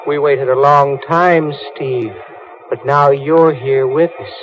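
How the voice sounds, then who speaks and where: An actor playing a drowned sailor's vengeful ghost in a radio thriller, eerie and relentlessly taunting. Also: male voice, medium pitch, steady tone, takes it slowly, soft background music, lightly acted. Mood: gloating. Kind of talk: vintage radio thriller acting. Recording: muffled old radio drama recording.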